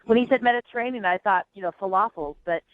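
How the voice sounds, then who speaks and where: A woman's voice: medium pitch, fast talker, slight background noise. A woman phoning in, chatting casually in a hesitant, incredulous way. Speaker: phone-in guest on a dating segment. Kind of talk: casual chat. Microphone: telephone. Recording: dull, dry phone-call sound.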